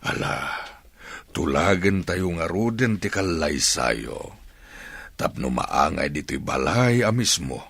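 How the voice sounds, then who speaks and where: An actor with an Ilocano accent playing an aging, hearty and fond rural father in a radio drama, his voice gravelly and husky. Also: sits low, lively intonation, takes it slowly, a bit bright, dry recording, heavily acted. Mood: pleased, fatherly.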